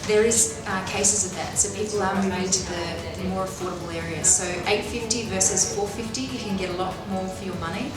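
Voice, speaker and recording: A middle-aged woman, answering interview questions in a measured, businesslike way with frequent fillers and restarts. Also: medium pitch, over a noisy crowd. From Australia. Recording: echoey room, far from mic, loud background noise.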